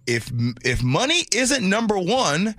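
Animated high-pitched man on talk radio. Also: slow, dry recording, studio quality. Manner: animated, colloquial, addresses co-hosts directly. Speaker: radio talk show host. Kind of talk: lively opinionated morning radio banter.

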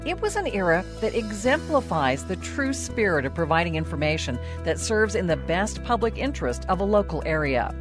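A woman's voice: lowish voice, sing-song, brisk, few pauses, over music. An American woman radio anchor narrating a scripted documentary as host.

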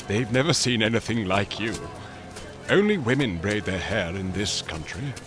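An actor playing a fussy, loyal English manservant in a radio drama, speaking with formal precision and exasperated politeness. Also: male voice, on the low side, expressive, normal speed, over music, close to an everyday voice. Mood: fussy, instructing.

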